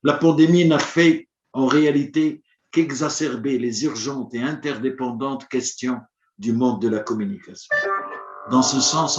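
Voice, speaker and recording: A man whose first language is Arabic, giving a formal prepared conference address in a measured, rhetorical manner, with long listed points. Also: medium pitch. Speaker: conference speaker. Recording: roomy, dull lo-fi audio.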